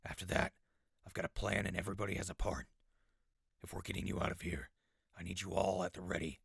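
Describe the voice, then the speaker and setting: An actor playing a gruff, curt undercover infiltrator among raiders in a post-apocalyptic audio drama, with a rough voice. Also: male voice, sits low, flat delivery, quick pace, fairly bright tone, no echo, clean studio sound, full character voice. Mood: curt, commanding. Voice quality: gravelly, rough. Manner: curt, bossy.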